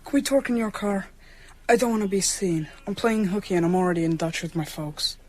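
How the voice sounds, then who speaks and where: A woman's voice: mid-range voice, fairly quick, a little mellow, soft background music, close to an everyday voice. A woman voicing a brave, precocious schoolboy in a radio drama, earnest and matter-of-fact. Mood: urgent, solemn.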